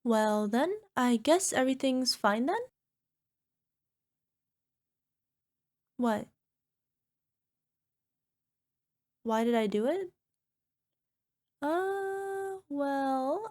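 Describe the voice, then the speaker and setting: A woman's voice: high-pitched, lively intonation, slow pace, darkish tone. A woman playing a small, sheepish cyclops monster girlfriend in an ASMR roleplay, wheedling and making cutesy, defensive excuses. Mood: defensive, pleading. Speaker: voice actor.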